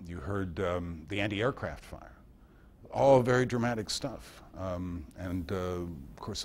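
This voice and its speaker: A man giving an informal history lecture at a club meeting in a halting manner, recorded by an amateur. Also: deep voice, slight background noise. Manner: halting, with pauses and quoted passages read aloud. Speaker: guest speaker at a club meeting.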